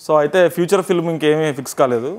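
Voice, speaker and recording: Male interviewer, Telugu accent, slightly high voice, very quick delivery, chatty and encouraging. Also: darkish tone. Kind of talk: casual promotional film interview, mixing Telugu and English.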